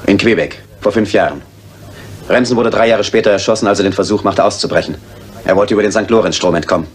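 An actor playing an indignant aristocratic lord in a German radio crime drama. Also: male voice, lowish voice, a little hiss or hum, lightly acted. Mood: matter-of-fact. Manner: brisk, disbelieving.

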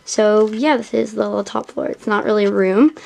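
A woman's voice: medium pitch, fairly quick, gravelly, a little mellow. A young girl with an American accent, chatting casually and informally as she narrates a home vlog tour. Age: young, child or teenager. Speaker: doll vlogger giving a house tour. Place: indoor room at home, behind the camera.

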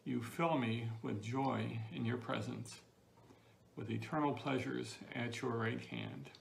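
An older man reads scripture aloud in a heavily creaky, gravelly voice at a medium pitch. He is recorded on a phone in his living room, with faint background noise. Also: slow. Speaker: congregation member reading scripture. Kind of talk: scripture reading. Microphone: phone at arm's length.

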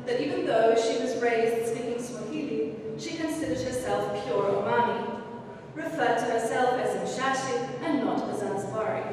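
A woman academic reading a prepared conference paper in a measured way, with a few fillers. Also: on the high side, slight background noise. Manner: measured, scripted delivery with occasional fillers. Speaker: academic panelist. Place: lecture room with projected slides. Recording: echoey hall recorded from far off.

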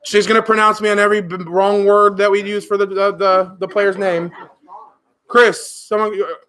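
A man's voice: high-pitched, little pitch movement, normal speed, a bit bright. A man hosting a card break with friends around a table, casually calling out names and joking. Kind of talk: casual group chat.

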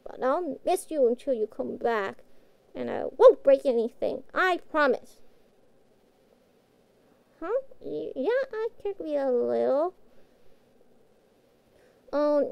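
A sweet young catgirl pet speaks in a high, lilting voice. She is innocent and eager, with a slow, childlike, hesitant delivery suited to fantasy audio roleplay. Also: warm tone, no room sound, full character voice. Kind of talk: scripted audio roleplay acting.

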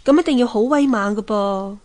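An actress playing a sculptor's wise wife in a Hong Kong Cantonese radio drama, gently advising in a coaxing, expressive way. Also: female voice, on the high side, brisk, lightly acted.

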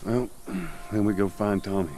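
An actor playing a gruff, weary middle-aged smuggler, terse and guarded in a video game. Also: male voice, sits low, a little slow, mellow, a little hiss or hum. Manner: terse, dry, grumbling.

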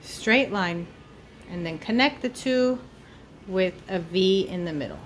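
A woman's voice: mid-range voice, expressive, unhurried, slight background noise. A woman giving an informal step-by-step drawing lesson for children, thinking aloud as she works. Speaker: art teacher. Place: indoors at a table.

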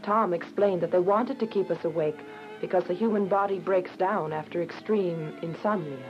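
A woman's voice: fairly low pitch, normal speed, close to an everyday voice. A woman playing an earnest, devout young prisoner, narrating her story in a radio drama. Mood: somber, reflective. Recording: dull recording with music behind. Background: music.

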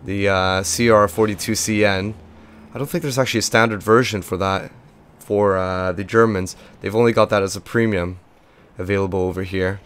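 Young male game host, voice gone and low, monotone, rambling casual commentary. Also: normal speed, clear, resonant tone. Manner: rambling, chatty, filler-heavy. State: lost voice, limited pitch. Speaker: gaming video host.

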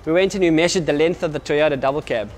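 A man in his forties explaining the kayak range to camera in an indoor showroom, energetic and gesturing, with frequent restarts. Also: a little high, quick pace. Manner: energetic, gestures constantly while talking, many restarts. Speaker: kayak company representative. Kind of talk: explanatory product talk to camera. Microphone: camera at medium distance.

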